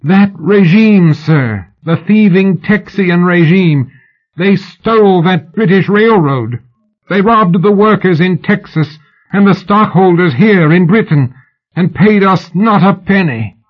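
Man voicing a shrill, strained young London woman. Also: takes it slowly, muffled recording. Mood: indignant. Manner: declamatory, pleading.

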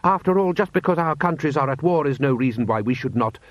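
A man's voice: fairly high pitch, animated, quick pace, no echo, muffled recording, voice pushed up, close to an everyday voice. A man voicing a haughty, stiff military lord with pompous, condescending formal speech.

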